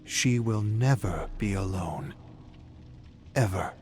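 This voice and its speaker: A man delivering grave, deliberate, theatrical narration of a dark fantasy story. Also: on the low side, lively intonation. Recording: music behind the voice. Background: dramatic music.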